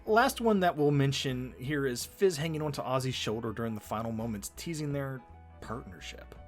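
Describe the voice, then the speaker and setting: A man co-hosting a conversational commentary on an animated episode, with an animated, bantering delivery. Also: mid-range voice, normal speed, soft background music. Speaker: co-host of fan commentary video.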